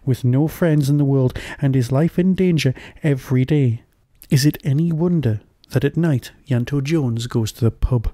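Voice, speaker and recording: A man's voice: medium pitch, normal speed, few pauses, dark tone, dry recording, studio quality. A man hosting a podcast, delivering a review as a monologue and often answering his own rhetorical questions.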